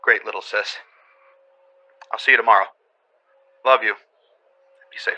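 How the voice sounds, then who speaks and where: An actor in a radio drama playing a caring older brother who halts and stutters on the phone. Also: male voice, fairly low pitch, fairly even intonation, pushed and bright. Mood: somber. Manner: halting, stuttering.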